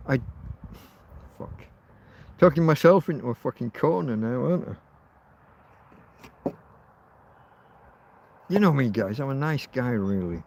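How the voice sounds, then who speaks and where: A man in his fifties hosting a rambling, casual livestream outdoors, talking bluntly and swearing freely. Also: a little high. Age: 50s. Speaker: livestream host. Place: outdoors in a field.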